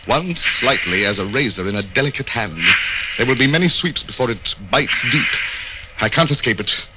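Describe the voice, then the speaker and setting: An actor playing a desperate condemned prisoner in theatrical, intense 1940s radio horror style. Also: male voice, mid-range voice, expressive, moderate pace, very noisy, natural voice. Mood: eerily calm. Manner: theatrical, intense dramatic delivery. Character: condemned prisoner captain, desperate, tormented, wavering between dread and resolve. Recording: old radio broadcast with music behind.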